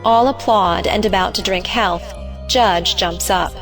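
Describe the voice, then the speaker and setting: A woman reading stage directions as scripted narration, measured and matter-of-fact. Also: medium pitch, music in the background.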